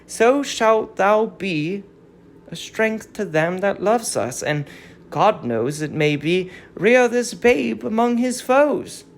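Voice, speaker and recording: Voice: medium pitch, lively intonation, slow pace. A performer playing an aged fallen queen, sorrowful and resigned, in a stately declamatory reading of Greek tragedy. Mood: grave, counselling.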